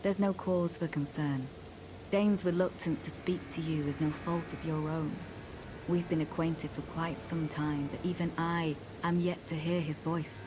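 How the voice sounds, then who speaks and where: An actress playing a composed, devoted woman in a fantasy video game, speaking in a measured, formal and reassuring way. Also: low voice, steady tone. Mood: calm, reassuring. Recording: clear background ambient noise.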